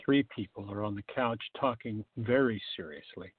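A man with a gravelly, creaky voice reading scripted narration for a comic radio play, on a tinny, narrow recording. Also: fairly low pitch, moderate pace, no echo. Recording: tinny, narrow phone-like sound.

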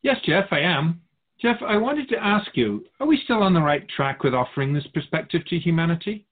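A wise otherworldly keeper of souls from a radio drama acted by a man. He muses in a formal, philosophical way with gentle wryness, his voice rising and falling freely and slightly husky. It comes through a thin, phone-like band. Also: a little high, expressive, fairly quick. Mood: curious, gentle. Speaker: spirit guide being interviewed. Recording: muffled phone-like narrow band.

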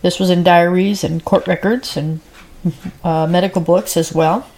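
Female podcast host, a bit low-pitched, stuffy from a cold, casual and rambling with plenty of ums and uhs. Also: steady tone, moderate pace, a little mellow, rough recording. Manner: chatty, rambling with frequent fillers. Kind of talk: casual solo podcast chat.

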